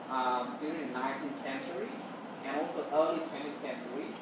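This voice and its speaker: Echoey, distant Vietnamese-accented lecturer. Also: mid-range voice. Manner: checks understanding with "okay" and "right". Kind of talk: explanatory classroom lecture. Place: roomy indoor space, distant mic, noise behind. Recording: echoey room from far off, noisy.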